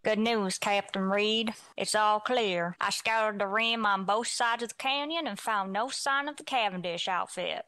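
Casting: female actor as sly western scout, radio drama; sharp, bright voice, very dry recording. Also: medium pitch, unhurried, hardly any pauses, dry recording. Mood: falsely upbeat. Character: deceitful Ranger scout reporting to the captain. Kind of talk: old-time radio western acting.

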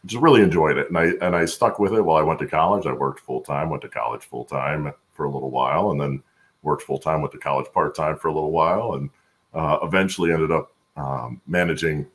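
An American man in his forties with an extremely low, gravelly voice. He talks conversationally as an interview guest over a video call. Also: a little fast. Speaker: guest, supply chain executive. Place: room at home on a video call.

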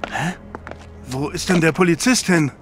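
An actor playing an alarmed, superstitious companion to a detective in a radio play, incredulous and dramatic. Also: male voice, on the high side, normal speed, over music, close to an everyday voice. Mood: alarmed disbelief.

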